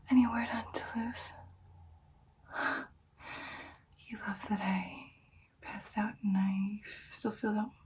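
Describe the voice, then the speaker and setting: A woman playing an ancient, caring female vampire in a whispered ASMR roleplay, speaking in soft, breathy, hesitant phrases. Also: fairly high pitch, distant mic, slight background noise. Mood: weak, worried.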